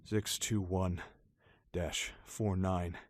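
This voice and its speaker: A man's voice: low voice, little pitch movement, very slow, dry recording. A man playing a defiant, stoic American colonel held captive, speaking tersely in an audio drama.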